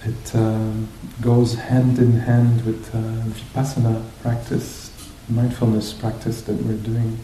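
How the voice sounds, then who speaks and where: Male meditation guide, unhurried and hesitant, lowish pitch, very slow delivery, recorded in a roomy space with faint rumbling noise. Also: completely flat delivery, dark tone. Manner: hesitant, searching, pausing between short phrases. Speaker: meditation teacher leading a group. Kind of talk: guided meditation talk, unscripted and reflective.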